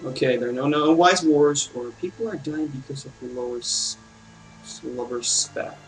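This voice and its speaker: Male let's player, medium pitch, casual reactive commentary, slightly off-mic, game music behind. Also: normal speed, distant mic, poor audio. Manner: informal, reactive asides. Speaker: let's play gamer.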